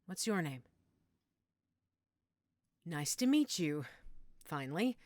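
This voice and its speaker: A woman playing a middle-aged motherly healer in an audio roleplay, gentle, reassuring and coaxing. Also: low-pitched, big pitch swings, slow pace, no room sound, studio quality, heavily acted. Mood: soothing. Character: calm motherly werewolf-den healer named Judith, tending a patient.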